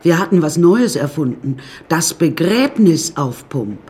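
A woman's voice: sits low, sing-song, a little slow, darkish tone. An actress playing a wry woman who recounts her death-strewn childhood in a radio play, dry and confiding. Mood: dry, ominous. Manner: dry, darkly comic, confiding.

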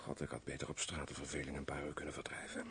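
A deep, husky-voiced army major in a radio play. He is an aristocrat, wry, courtly and formal in his gallantry. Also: lively intonation, fairly quick. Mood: muttered asides. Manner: formal, gallant, muttering asides. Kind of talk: radio drama acting.

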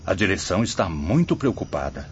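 An actor playing a worried, formal colony official reporting in a radio drama. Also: male voice, deep voice, very animated, brisk. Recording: dull recording with faint ambient noise.

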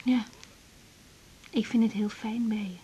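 An actress playing a young doctor, tender and troubled, in an intimate, hesitant radio drama style. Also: female voice, fairly high pitch, steady tone, unhurried, mellow, a little hiss or hum, close to an everyday voice, low-quality recording. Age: young adult. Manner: intimate, hesitant, sighing delivery. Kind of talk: naturalistic radio drama acting.

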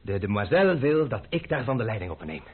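A man's voice: fairly low pitch, very animated, fast talker, slightly rough. An actor playing a grumbling, hot-tempered man in love in an old radio play, cursing and exclaiming theatrically. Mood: boisterous. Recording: narrow-band old radio recording with hiss.

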